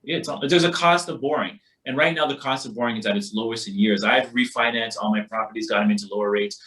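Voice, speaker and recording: Male workshop instructor from Barbados, mid-pitched, emphatic and colloquial, motivational teaching style. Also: fast, fairly bright tone, rough recording. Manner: emphatic, colloquial, repeats catchphrases. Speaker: financial literacy workshop instructor.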